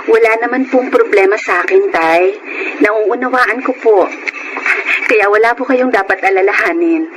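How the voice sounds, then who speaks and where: A woman's voice: medium pitch, normal speed, background noise, natural voice. A woman playing a dutiful breadwinner wife who grows suspicious, in Filipino radio drama style with a Filipino accent. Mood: patient, obliging.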